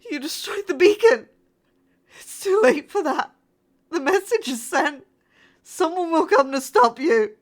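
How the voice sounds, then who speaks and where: High-voiced, terrified spaceship crewwoman acted by a woman. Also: animated. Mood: terrified pleading. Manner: dramatic, halting, emotional delivery. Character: terrified female spaceship crew member, pleading and defiant. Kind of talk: horror sci-fi audio drama acting.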